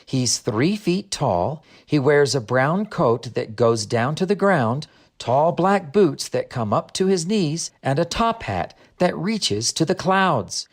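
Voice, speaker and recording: A friendly woman guide from a storybook, played by a male narrator. The delivery is patient and explanatory, with widely varied, animated intonation. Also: a little high, slow, pitched above the performer's own voice, heavily acted. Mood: explaining. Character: Greta, friendly woman guide explaining her land's customs. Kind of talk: audiobook character dialogue.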